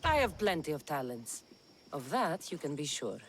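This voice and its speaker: A woman's voice: sits low, sing-song, moderate pace, slight background noise. A woman playing a tough, confident mercenary of ancient Greece in a video game cutscene, speaking bluntly. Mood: teasing, confident.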